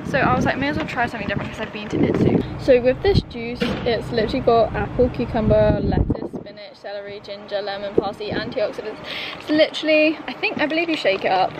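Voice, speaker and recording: Young female vlogger, high bright voice, chatty and bubbly, arm's-length camera, loud street crowd behind. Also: quick pace, poor audio. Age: young adult. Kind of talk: casual vlog chat. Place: outdoors in a town, lawns and streets. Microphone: handheld camera at arm's length.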